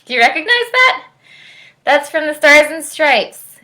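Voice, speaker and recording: An American woman reading aloud and chatting to young children in an expressive storytime manner. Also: sits high, measured pace. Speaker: orchestral musician, guest storytime reader.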